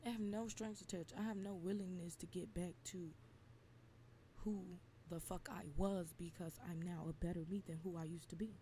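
Woman, twenties, slightly raspy, very mellow dark voice, lowish, blunt profane venting. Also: fairly even intonation, moderate pace, slight background noise. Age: 20s. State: slightly raspy from lack of sleep. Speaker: podcast host. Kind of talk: casual venting monologue.

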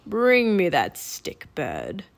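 A woman voicing a menacing half-bird, half-human king with a raspy croak and a mockingly polite manner. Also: fairly low pitch, huge pitch swings, slow, full character voice. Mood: commanding.

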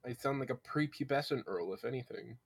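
A man guest on a podcast, chatting casually with a husky morning voice, giggly and self-deprecating. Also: medium pitch, a little fast.

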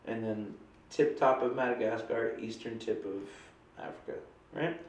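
A woman's voice: very deep voice, measured pace, a little mellow, roomy sound. A woman co-hosting a casual chat show, talking in a chatty, rambling and playful way, with a stuffy nose from a cold. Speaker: podcast co-host.

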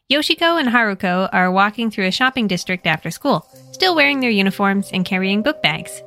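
An American woman hosting a story podcast, retelling a horror manga in a lively, expressive and wry way. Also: medium pitch. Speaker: podcast host and narrator.

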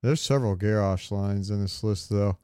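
A man's voice: fairly low pitch, moderate pace, dark tone, smooth, dry recording, clean studio sound. A man co-hosting a casual gaming podcast, talking in a rambling way with meandering asides. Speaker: podcast co-host.

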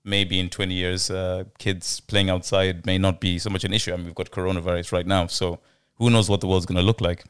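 A man's voice: deep voice, little pitch movement, a little fast, hardly any pauses, clear, resonant tone. A man co-hosting a casual podcast, asking hesitant questions full of "um" and "uh". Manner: hesitant, many "um" and "uh", asks questions. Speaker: podcast co-host.

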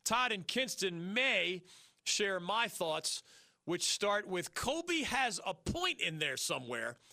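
Sports radio host, male, high bright voice, fast and emphatic, dry studio sound. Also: very animated, no room sound. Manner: fast, emphatic, opinionated, rising to rhetorical peaks. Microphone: studio mic.

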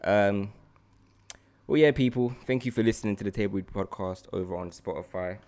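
A British man with a London accent hosting a casual podcast, talking in an animated, slangy way and repeating himself for emphasis. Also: fairly low pitch, a little fast. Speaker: podcast host.

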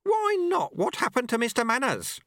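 A man's voice: very high-pitched, huge pitch swings, normal speed, creaky, a bit bright, no room sound, pitched above the performer's own voice, exaggerated voice. A man voicing a sly old male monk and martial arts master, dry and mocking in an audiobook. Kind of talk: audiobook character voice.

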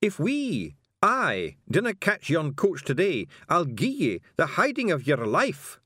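Audiobook character: impatient Scottish bully, pitched high, growling broad dialect, threatening. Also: male voice, sing-song, measured pace, a bit bright, dry recording, studio quality, full character voice.

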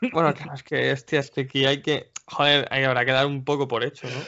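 A man's voice: medium pitch, level tone, a little fast, bright, forceful tone. A man co-hosting a casual podcast chat, speaking in a blunt, joking, provocative way. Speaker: podcast co-host. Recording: dry muffled lo-fi audio.

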